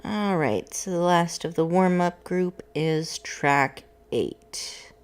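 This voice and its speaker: A woman's voice: lowish voice, slow, clear, resonant tone. A woman, a voice student, chatting casually and explaining her practice step by step between vocal exercises.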